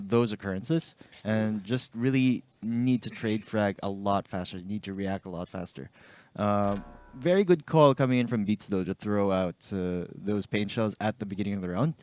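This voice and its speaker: A man with a Filipino accent giving live esports analysis in measured, hesitant short phrases. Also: fairly low pitch, a little mellow, no echo. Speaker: esports shoutcaster.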